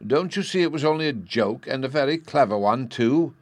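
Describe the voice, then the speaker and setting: A man voicing a haughty, shrill noblewoman who speaks imperiously. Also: animated, a little fast, no room sound, lightly acted.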